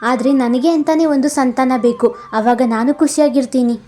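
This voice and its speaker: A woman's voice: high-pitched, steady tone, moderate pace, warm tone, lightly acted. A woman voicing a childless young village wife in a cartoon, pleading and longing.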